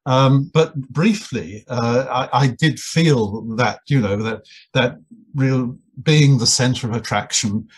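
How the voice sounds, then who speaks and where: An older Englishman with a husky, slightly breathy voice at an average pitch. He tells wry, self-deprecating anecdotes in an unhurried interview, often looping back and restarting his phrases. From England. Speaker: guest, anthropologist and writer. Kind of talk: relaxed storytelling interview.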